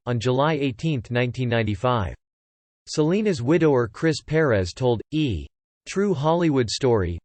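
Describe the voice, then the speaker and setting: A man's voice: medium pitch, a little mellow, no echo. Man narrating celebrity news, very dry sound. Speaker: narrator of entertainment video.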